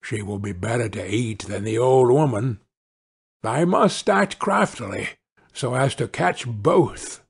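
A crafty, hungry storybook wolf, played by a man reading aloud, with a gravelly edge. He coaxes and flatters in slow, swooping phrases, every bit the fairy-tale villain. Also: medium pitch, full character voice. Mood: scheming, greedy.

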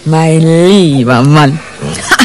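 Teasing schemer lady in a crime radio play, lowish voice, unhurried, sure of herself. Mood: amused, teasing. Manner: playful, self-assured. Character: cool, teasing, scheming businesslike woman. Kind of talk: German radio crime drama.

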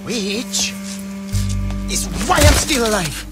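A man's voice: very high-pitched, very animated, takes it slowly, loud music behind the voice, heavily acted. A man voicing a cocky, wisecracking rogue in a video game, playful and breezy in manner.

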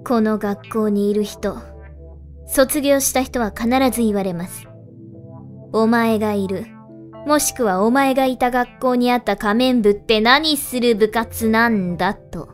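A woman acts out a strict female student council president in an amateur drama. Her voice is on the high side, formal and resolute, with music underneath. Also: measured pace, lightly acted. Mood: stern.

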